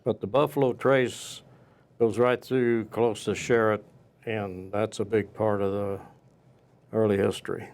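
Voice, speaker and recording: A man speaking as a guest local historian in an interview, recounting the past off the cuff in a halting way with pauses mid-sentence. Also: on the low side, little pitch movement, a little mellow.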